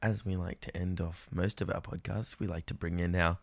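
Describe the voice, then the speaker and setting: A man co-hosting a podcast who teases his partner with deadpan interjections. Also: low-pitched, brisk, creaky. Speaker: podcast co-host. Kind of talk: casual comedic chat.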